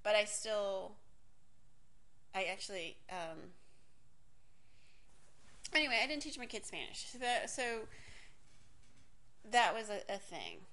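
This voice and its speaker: A woman's voice: mid-range voice, sing-song, slow, pauses often, pushed and bright. A woman in her forties from Miami telling a candid personal story, rambling and self-interrupting, swearing casually and laughing often. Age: 40s.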